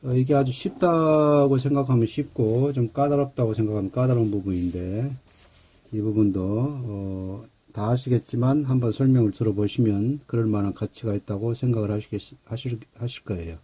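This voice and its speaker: A middle-aged man with a Jeolla Korean accent giving a relaxed English grammar lecture, pausing on fillers and correcting himself. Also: mid-range voice, husky. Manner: relaxed, slightly hesitant, with fillers and self-corrections.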